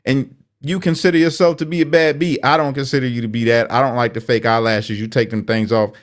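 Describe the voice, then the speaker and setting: A man's voice: mid-range voice, level tone, fairly quick. A man hosting political commentary, speaking bluntly and sarcastically as if straight to the person he criticizes. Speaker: commentary host.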